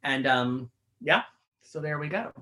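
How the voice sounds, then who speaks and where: Middle-aged fashion editor, bright tense tone, rambling chatty answers with lots of fillers. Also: male voice, a little high, expressive, measured pace. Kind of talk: interview answers.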